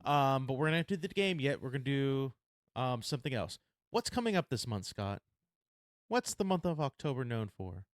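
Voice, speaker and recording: A young adult man co-hosting a podcast, talking in a rambling casual way with a deep baritone and many filler words. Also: sing-song, normal speed, dry recording, studio quality. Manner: rambling, lots of "like" and restarts. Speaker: podcast co-host. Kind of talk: casual chat.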